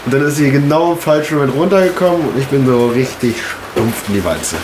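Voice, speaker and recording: A young man tells a story to camera in a casual, slangy way. His voice sits in a middle pitch. The room is echoey, with clear noise behind him. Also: lively intonation, brisk. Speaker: kayaker recounting the day. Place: indoors in an unheated house.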